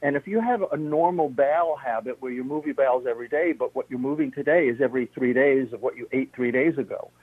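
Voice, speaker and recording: A man's voice: mid-range voice, fast, hardly any pauses, husky, no room sound. A man on a radio interview, steadily explaining health tips and listing points one by one. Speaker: health practitioner guest. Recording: narrow phone-line sound.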